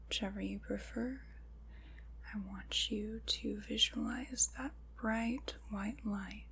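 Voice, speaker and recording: A woman performs as a solemn, gentle witch in a whispered ASMR roleplay. Her breathy whisper moves slowly and deliberately, soothing as it guides a ritual. A faint noise sits under the voice. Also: mid-range voice, poor audio.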